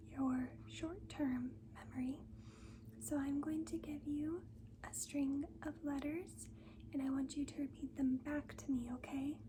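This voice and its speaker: A woman speaks in a soft, breathy whisper, very slowly and deliberately. Her voice sits high, and she plays a gentle, reassuring nurse in an ASMR style. There is clear noise in the recording. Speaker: ASMR performer playing a school nurse. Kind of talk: soft-spoken ASMR roleplay.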